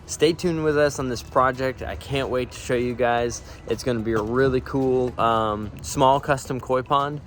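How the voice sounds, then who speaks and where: A man's voice: medium pitch, fairly even intonation, moderate pace, hardly any pauses. A man giving an upbeat, informal walkthrough as a builder hosting a project video outdoors. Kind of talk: casual on-site project walkthrough. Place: outdoors at a backyard pond construction site. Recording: noisy outdoor site, vehicle and ambient noise.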